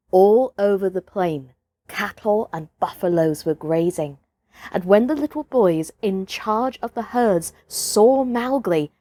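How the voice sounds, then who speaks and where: A woman reading a children's story aloud as a storyteller, with a deliberate, dramatic delivery. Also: medium pitch, no echo, studio quality. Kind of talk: expressive children's audiobook narration.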